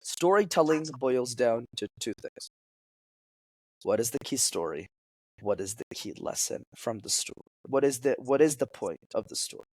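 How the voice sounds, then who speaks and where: A young man with French as his first language talks through his points as a public speaking coach, lively and teacherly. His voice sits at an ordinary middle pitch. It is recorded close and dry, with no room echo. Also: moderate pace. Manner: energetic, explanatory, teacherly. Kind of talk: podcast interview guest.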